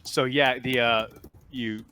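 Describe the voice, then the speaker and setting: A man's voice: mid-range voice, fairly even intonation, pushed and bright, smooth, no echo. Guy running a tabletop rpg, explains stuff casually, stop and start, super dry audio. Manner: conversational, halting, explanatory. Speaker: game master. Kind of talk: improvised narration of a tabletop game.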